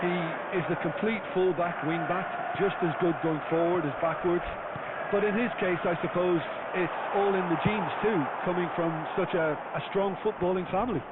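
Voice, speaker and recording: A man playing a colour commentator in a football video game, giving relaxed, conversational analysis. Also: sits high, moderate pace, lightly acted. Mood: analytical. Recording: tinny game capture over crowd noise.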